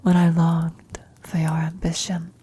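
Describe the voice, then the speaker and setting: A dying old adventurer , played by a woman, speaks in a very husky, breathy whisper. She is tender and serene with her former lover in this ASMR roleplay, taking her time over each reflective phrase. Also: fairly low pitch, completely flat delivery, measured pace, dark tone. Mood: wistful, serene.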